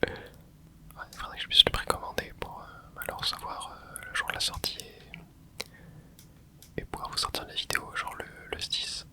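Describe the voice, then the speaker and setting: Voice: a little slow, pauses often. A young adult ASMR host whispering casual, rambling chat while playing a video game, with many hesitant fillers. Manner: soft unvoiced whisper throughout. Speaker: ASMR gaming channel host. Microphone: close mic.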